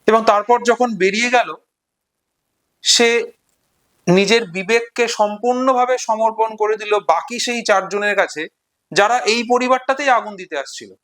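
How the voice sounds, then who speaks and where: Male literature presenter, Bengali accent, high voice, measured storytelling and commentary with emphatic repetition. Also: fairly bright tone.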